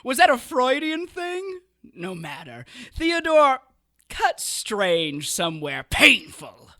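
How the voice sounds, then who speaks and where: A woman playing a sadistic undead villainess in an audio drama, shrill and mocking, drawing out her words. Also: big pitch swings, slow, bright, forceful tone, exaggerated voice. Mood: gleefully cruel.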